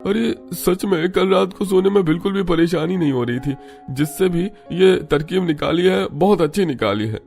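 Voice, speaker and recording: A man voicing an earnest, agreeable villager in an animated cartoon story. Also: high voice, moderate pace, gravelly, faint music behind. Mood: impressed.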